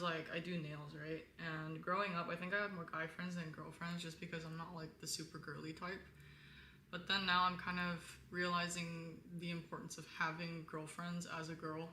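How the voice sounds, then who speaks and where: Bright-voiced young woman in a roomy space. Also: steady tone, moderate pace. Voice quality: lower-toned voice. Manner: candid, rambling, frequent "like". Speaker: live stream host. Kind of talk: casual live stream chat with viewers. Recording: roomy echo, faint background noise.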